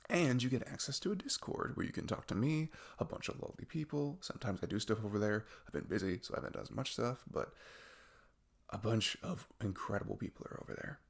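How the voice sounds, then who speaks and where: A man with a creaky low voice speaking casually to his listeners as an audio creator making an announcement. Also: expressive, fast. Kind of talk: casual spoken channel announcement.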